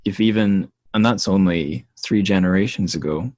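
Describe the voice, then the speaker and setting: A man's voice: sits low, moderate pace, darkish tone, no echo, clean studio sound. A man hosting a podcast interview, talking in a thoughtful, self-deprecating way and laughing often. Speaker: podcast host. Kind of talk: casual interview conversation.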